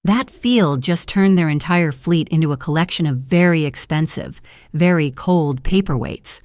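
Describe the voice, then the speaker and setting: A woman's voice: sits low, moderate pace, a little mellow, dry recording, clean studio sound, natural voice. A woman playing a tough, wry ship mechanic.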